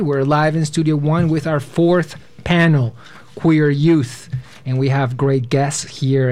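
A man's voice: on the high side, darkish tone. Young man hosting live radio. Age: 20s. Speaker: radio host, panel moderator. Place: radio studio.

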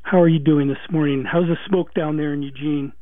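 A man's voice: fairly high pitch, fairly even intonation, brisk, no room sound. Chatty male radio host, tinny line. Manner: conversational, with many restarts and fillers. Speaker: radio talk show host. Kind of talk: radio interview.

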